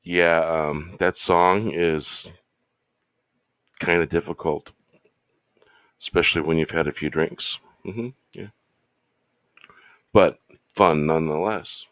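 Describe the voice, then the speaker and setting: A man hosting his show in a laid-back, rambling casual chat, slightly hoarse from losing his voice. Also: low-pitched, steady tone, slow, pauses often, a bit bright, no echo. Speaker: show host. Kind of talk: casual chat while drinking.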